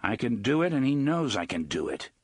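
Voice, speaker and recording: Steady grim gunslinger voiced by a man, low terse delivery, dry husky voice. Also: a little fast, no echo. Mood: calm.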